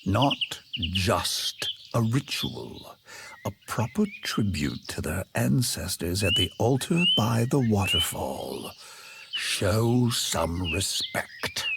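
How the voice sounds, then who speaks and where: An actor playing a wise, patient old tree creature who tells lore in a solemn, formal, gravelly voice for a fantasy game. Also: male voice, fairly low pitch, very animated, takes it slowly, heavy background noise, full character voice. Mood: patient, instructive.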